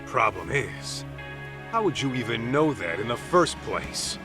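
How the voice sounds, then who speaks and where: An actor playing a tough, hot-tempered middle-aged investigator in a dramatic video game cutscene, speaking in a blunt, confrontational way. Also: male voice, mid-range voice, very animated, a little slow, over music. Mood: accusing.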